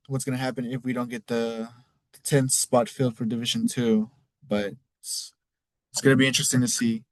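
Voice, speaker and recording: Man, Mexican accent, casual rambling recap, swears freely, middle pitch, dry close sound. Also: no echo. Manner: rambling, loose, cusses casually. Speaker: league podcast host. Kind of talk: casual solo recap talk.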